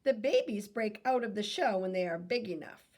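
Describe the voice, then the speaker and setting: A woman reading a children's nonfiction book aloud in a teacherly way, pausing for questions and small self-corrections. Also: mid-range voice, expressive, a little mellow. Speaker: read-aloud reader and teacher.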